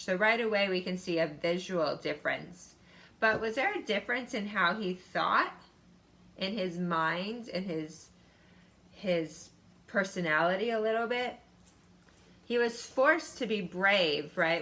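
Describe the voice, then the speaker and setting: A woman's voice: on the low side, sharp tone. A woman teacher reading a picture book aloud to children and explaining it in an instructive way, addressing them directly.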